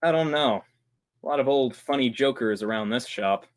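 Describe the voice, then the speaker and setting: A man's voice: medium pitch, moderate pace, close to an everyday voice. A man playing an easygoing, wisecracking radio actor in a 1940s-style radio play. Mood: wry. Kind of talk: old-time 1940s radio play acting.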